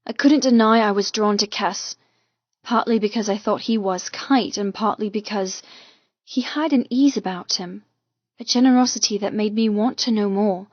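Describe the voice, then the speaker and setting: Lady reading a steamy novel, intense and dramatic, medium pitch, slightly dull audio with no room sound. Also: normal speed, no echo. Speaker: audiobook narrator. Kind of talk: dramatic first-person romance audiobook narration.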